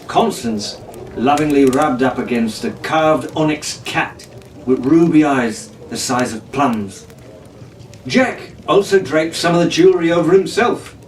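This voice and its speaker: Distant-miked man reading in a roomy space. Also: fairly high pitch. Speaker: storybook reader. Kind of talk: dramatic audiobook narration of a children's adventure story. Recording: roomy, distant mic, faint background noise.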